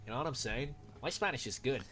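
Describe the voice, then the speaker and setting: A man's voice: medium pitch, expressive, quick pace, bright, forceful tone. A young man in casual joking chat, full of bro slang and swearing, trying out Spanish phrases. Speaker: streamer in played clip.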